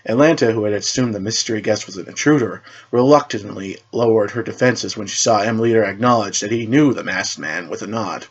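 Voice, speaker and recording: Halting man reading a story, lo-fi sound. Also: on the low side, husky. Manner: halting, stumbles and repeats words while reading. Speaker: narrator reading own superhero story. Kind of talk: amateur story narration with character voices. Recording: dull lo-fi recording.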